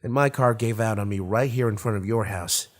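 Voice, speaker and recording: A man's voice: on the low side, brisk, close to an everyday voice. A man voicing a polite, hesitant young man in an audiobook, a little nervous and awkward.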